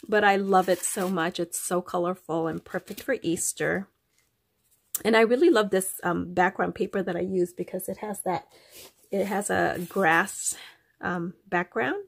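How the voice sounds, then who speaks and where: Slow-talking woman with a nasal, stuffy voice. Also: on the low side, vocal fry. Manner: rambling, many ums, warm and chatty to viewers. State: stuffy from allergies. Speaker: craft vlogger. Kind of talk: casual show-and-tell chat.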